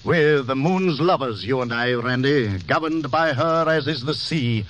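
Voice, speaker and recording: A man's voice: mid-range voice, unhurried, a bit bright, close to an everyday voice. A man playing a proud, melancholy, down-on-his-luck stage actor with a florid, declamatory manner in a 1950s radio drama. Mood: bitter, poetic. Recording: vintage radio recording, faint noise.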